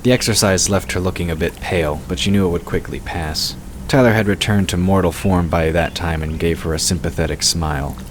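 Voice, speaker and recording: A man's voice: sits low, brisk. A man narrating a fantasy story from a script, as the narrator of an audio drama. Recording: clear background noise and ambience.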